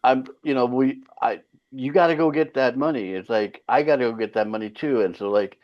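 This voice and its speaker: A Finnish-born singer whose first language is Finland Swedish, telling stories in a loose, profane way as an interview guest. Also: male voice, mid-range voice, fairly quick. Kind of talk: casual interview chat. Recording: slightly dull lo-fi sound.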